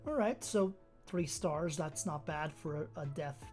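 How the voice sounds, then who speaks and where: Low-voiced woman, mostly American accent. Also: measured pace, faint music behind. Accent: mostly American with a slight Brazilian touch. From Brazil. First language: Portuguese. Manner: relaxed, rambling, thinking aloud. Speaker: streamer. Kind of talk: casual chat with viewers while playing a game.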